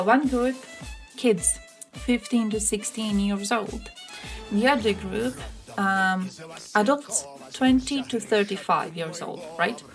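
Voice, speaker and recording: A young woman from Croatia, chatting on a livestream in a blunt, sarcastic, swearing manner. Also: mid-range voice. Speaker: streamer. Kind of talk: casual livestream chat. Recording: music playing in the background. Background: music playing.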